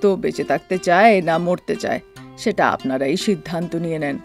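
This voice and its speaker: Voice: mid-range voice, moderate pace, soft background music, natural voice. A voice actor playing a blunt male doctor who firmly lectures a family in a storybook cartoon. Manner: firm, lecturing.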